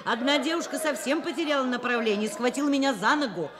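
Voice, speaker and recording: A woman's voice: sits high, fast talker, close to an everyday voice. An actress playing a kind, dignified middle-aged woman professor in a Soviet radio play, speaking expressively and articulately. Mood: observant narrating. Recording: music and crowd noise behind. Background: music and crowd.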